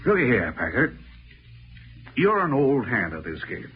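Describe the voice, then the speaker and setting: An actor playing a stern, suspicious American small-town mayor, curt and accusing, in 1940s radio drama style. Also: male voice, medium pitch, wildly swooping pitch, a little slow. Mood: stern, firm. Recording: dull, noisy old radio recording.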